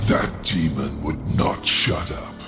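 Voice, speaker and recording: An actor playing a suave, scheming demon lord in a video game, urbane and sardonic in a theatrical way. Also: male voice, rumbling low, slow pace, over music. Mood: smooth, evasive. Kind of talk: video game character acting.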